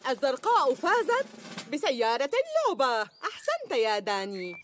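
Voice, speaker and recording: An actress voicing a busy, bossy rabbit lady running a fair in a dubbed cartoon, brisk and bustling. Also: high-pitched, huge pitch swings, slight background noise.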